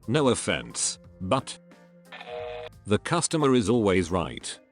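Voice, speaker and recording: A man narrating a series of online posts in a steady read-aloud style. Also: lowish voice, slow pace, music in the background. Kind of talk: scripted narration.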